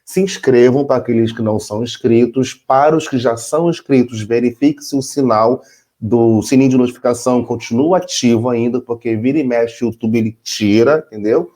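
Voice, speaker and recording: A man's voice: mid-range voice, a little fast. A middle-aged man from Brazil co-hosting a casual chat, explaining with rhetorical questions to the audience. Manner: relaxed, chatty, says "entendeu?" often. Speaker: co-host.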